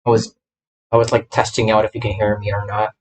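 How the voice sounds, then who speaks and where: A man's voice: on the low side, little pitch movement, fast talker, slight background noise. A man livestreaming a game, chatting casually in a scattered way, often repeating himself and cutting himself off. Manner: scattered, self-interrupting, repeats phrases. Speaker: livestreamer, gamer VTuber. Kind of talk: casual livestream chat while setting up a game.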